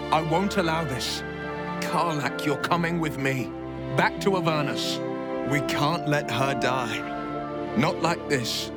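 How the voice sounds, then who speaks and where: An actor playing a gallant, impassioned hero in a video game, speaking in emphatic, commanding declarations. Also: male voice, a little high, takes it slowly. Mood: urgent, defiant. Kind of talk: video game cutscene acting. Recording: loud dramatic score over the voice. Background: loud music.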